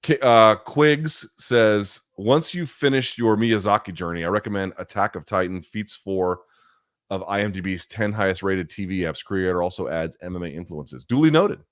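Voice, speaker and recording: A man's voice: low-pitched, normal speed, dry recording, studio quality. A middle-aged man hosting a live chat, reading viewer questions and answering in a blunt, profane, casual way. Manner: blunt, profane, animated asides.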